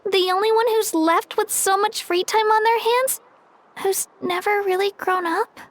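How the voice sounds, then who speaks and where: A very high-pitched young girl from a video game. She speaks gently and earnestly, with a hesitant, self-doubting edge and polite delivery. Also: fairly even intonation, a little slow, no room sound. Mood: downcast.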